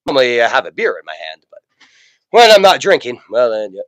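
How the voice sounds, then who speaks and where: A man with a raspy voice from a cold, chatting casually as co-host and teasing his friend dryly. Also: medium pitch, big pitch swings, quick pace, no room sound. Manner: dry teasing, laughs a lot. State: getting over a cold. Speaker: podcast co-host. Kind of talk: casual chat. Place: indoors at a friend's home.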